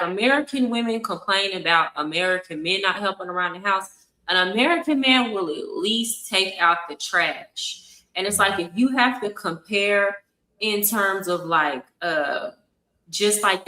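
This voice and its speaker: A woman's voice: mid-range voice, sharp tone. A woman talking bluntly and emphatically in a casual opinion chat, with slangy phrasing. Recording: echoey room.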